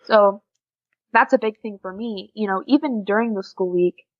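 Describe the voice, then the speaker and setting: A woman's voice: mid-range voice, normal speed, dry recording, highs cut off. A teenage woman from the USA with an American accent, chatting informally and giggly as a podcast guest. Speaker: podcast guest, exchange student. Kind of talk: casual interview chat.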